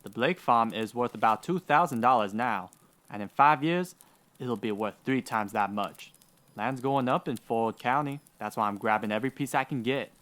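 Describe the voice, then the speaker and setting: Western radio villain male actor: mid-pitched, greedy land baron, haughty, clipped contempt and cold self-importance. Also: moderate pace, a bit bright, lightly acted. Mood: smug, cold. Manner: pompous, cold, indignant. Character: greedy, haughty Old West land grabber. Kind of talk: old-time radio western villain acting.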